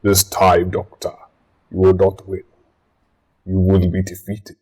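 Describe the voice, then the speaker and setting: Guy doing a pompous alien war leader, deep slow voice, threatening, out for revenge, audio drama. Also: completely flat delivery, a little mellow, husky, exaggerated voice, rough recording. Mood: gloating menace. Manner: slow, menacing, pompous delivery. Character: vengeful, boastful male alien soldier commander.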